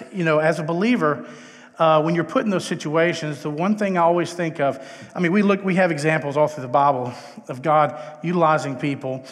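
A middle-aged man with a Texan accent giving an informal, self-deprecating chapel talk as a conversational guest speaker. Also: fairly high pitch. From Texas, United States. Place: large indoor chapel, live talk. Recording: echoey hall with long reverb.

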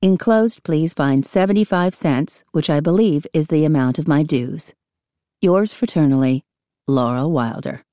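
A woman's voice: low voice, a little slow, mellow, dry recording, studio quality. A woman reading as a courteous pioneer letter writer, formal, precise and plain-spoken. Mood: courteous, composed. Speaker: audiobook reader of letters. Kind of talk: scripted reading of historical letters.

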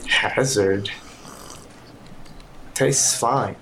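A man playing an easygoing, reassuring colleague in an audio drama, with a casual, understated, gently playful way of talking. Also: fairly low pitch, very slow, vocal fry, background noise, heavily acted. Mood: puzzled, joking. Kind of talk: audio drama acting, naturalistic dialogue.

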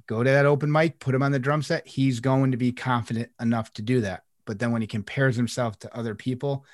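A man co-hosting a coaching podcast, who talks in a relaxed, explanatory way and often restarts his phrases. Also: medium pitch, brisk, dry recording, clean studio sound. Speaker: life coach, podcast co-host. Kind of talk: casual conversational podcast chat.

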